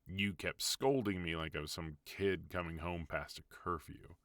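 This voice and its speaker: A man voicing an adult mafia boss husband, guarded but tender, in an intimate ASMR roleplay, speaking softly to an unheard partner. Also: deep voice, fairly even intonation, moderate pace, no echo, studio quality.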